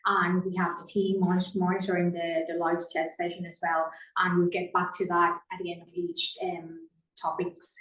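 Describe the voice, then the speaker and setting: Woman, lowish voice, echoey room. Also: level tone, normal speed, a bit bright. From Thailand. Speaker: immigration and mobility consultant co-hosting a webinar. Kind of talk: conversational webinar presentation. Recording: roomy echo, distant microphone.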